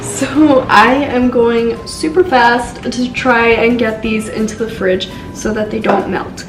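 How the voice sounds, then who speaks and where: A congested young woman walks through a baking tutorial in a chatty, unhurried way, leaning on "so" and "just." Her voice sits a little high and sounds stuffy. She is recorded from a distance in a roomy space, with faint music underneath. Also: steady tone. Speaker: baking channel host.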